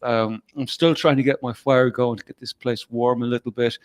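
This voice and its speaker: A man's voice: mid-range voice, fairly even intonation, normal speed, clear, resonant tone, no echo. A tired man co-hosting a live-stream show. He talks in a rambling way, full of "like" and "you know". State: tired, worn out. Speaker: co-host. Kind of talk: casual live-stream chat.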